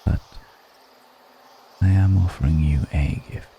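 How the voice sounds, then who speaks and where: A man playing a brooding, melancholic undead vampire in an intimate ASMR roleplay, speaking in a measured, poetic murmur with pauses between phrases. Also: slight background noise. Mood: intimate, reassuring. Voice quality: very deep, dark, creaky murmur.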